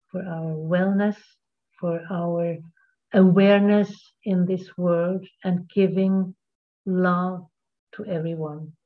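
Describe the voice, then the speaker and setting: A woman presenting a workshop, guiding the group in a slow, gentle cadence over a video call. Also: lowish voice. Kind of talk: guided meditation and group talk. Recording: tinny call audio.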